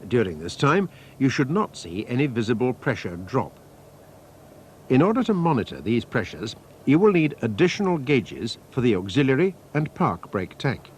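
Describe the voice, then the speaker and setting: A man reading scripted technical instructions as a training film narrator, in a measured, methodical, formal manner. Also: mid-range voice, big pitch swings, darkish tone. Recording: faint noise, archival training film soundtrack.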